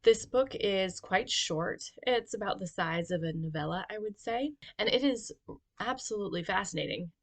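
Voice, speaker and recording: A homeschooling mother talking casually on her vlog in a measured, reflective and articulate way. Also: mid-range voice, expressive, gravelly. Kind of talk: casual educational vlog talk.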